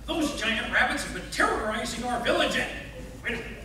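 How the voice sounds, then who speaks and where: A woman's voice: fairly high pitch, lively intonation, brisk, bright, forceful tone. A woman playing a naive, anxious villager in a live radio play. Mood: bewildered. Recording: echoey hall, distant mic, noisy.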